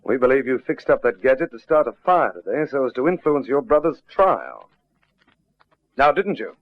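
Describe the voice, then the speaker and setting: An actor playing a confident police detective sergeant in an old radio drama, measured, dry and pressing. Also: male voice, mid-range voice, very animated, no echo, muffled recording, close to an everyday voice.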